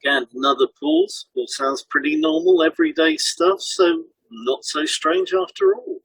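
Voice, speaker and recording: A man's voice: extremely high pitch, wildly swooping pitch, moderate pace, gravelly, fairly bright tone, breathy edge, poor audio. A man co-hosting a podcast as a hypnotherapist, reading scripted explanations in an instructional way.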